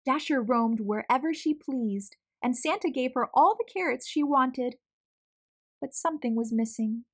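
A woman narrating a children's storybook as a read-aloud host, expressive, measured and clearly enunciated. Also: high-pitched.

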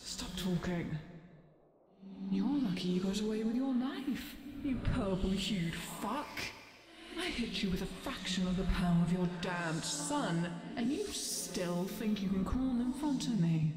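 A woman's voice: mid-range voice, animated, a little slow, over music, lightly acted. An actress playing a menacing, scornful female demon in a fantasy audio drama, sardonic and often slipping into a hiss. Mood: seething. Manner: sardonic, contemptuous, breaking into hissed whispers. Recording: echoey cavern reverb, background noise.

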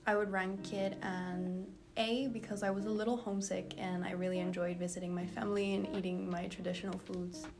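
A young woman tells an interviewer about her year in an upbeat way, her pitch staying flat and even. She is seated in a university lobby. Clear background music plays under her voice. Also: a little fast, hardly any pauses. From Argentina. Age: young adult. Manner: upbeat, talks through her months in turn. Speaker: university student. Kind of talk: interview to camera. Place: indoor university building lobby.

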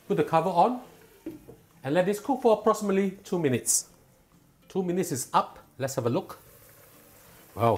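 A middle-aged man talks a viewer through a recipe in his home kitchen, calm and patient. His voice sits a little high for a man. He sounds close and clean on a small clip-on mic. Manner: calm, patient and instructive, enthusiastic when tasting. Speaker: cooking show host. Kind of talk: step-by-step cooking instruction.

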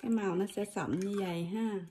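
A woman's voice: mid-range voice, steady tone, brisk, warm tone, slight background noise. A woman with a Southern Vietnamese accent giving a chatty, step-by-step kitchen demonstration and talking straight to her viewers. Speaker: cooking vlogger. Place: home kitchen, indoors. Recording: kitchen clatter of dishes and utensils.

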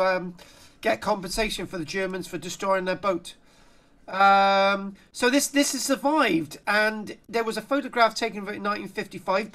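A middle-aged man with a South Wales accent giving an informal online lecture, chatty and jokey, often halting and wandering off on asides. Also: sits high, fairly bright tone. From Barry, south Wales. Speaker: archaeology lecturer. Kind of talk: informal online lecture, reading aloud and commenting. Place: indoor room, on a group video call.

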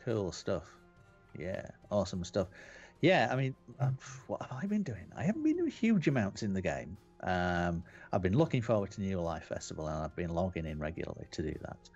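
Rambling Yorkshire man, low voice. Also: very animated, a little fast, darkish tone, slightly rough, highs cut off, faint music behind. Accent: Yorkshire English. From West Yorkshire, England. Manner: chatty, rambling, with fillers and repeats. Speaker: podcast host. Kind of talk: casual chat on a game webcast. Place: home room lit red.

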